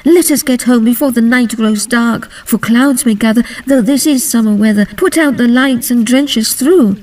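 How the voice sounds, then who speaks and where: A woman's voice: on the high side, normal speed, darkish tone, far from the mic, lightly acted. A woman playing a cautious young maiden who pleads earnestly and urgently with her sister, in a dramatic verse reading. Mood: anxious pleading.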